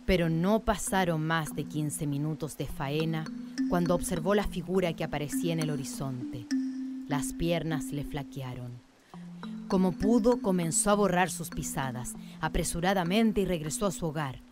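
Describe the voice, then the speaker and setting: A woman with a low voice narrates a radio drama. Her delivery is measured and literary, like a storyteller's, and music plays behind her.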